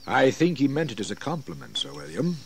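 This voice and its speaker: A deferential colonial adviser with a mid-pitched voice and animated intonation. He is formal and polite, as in a history radio play, with faint noise behind. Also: fairly quick, creaky. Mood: courteous concern. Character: deferential colonial gentleman counselling the governor. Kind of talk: historical audio drama acting. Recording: faintly noisy 1980s drama recording.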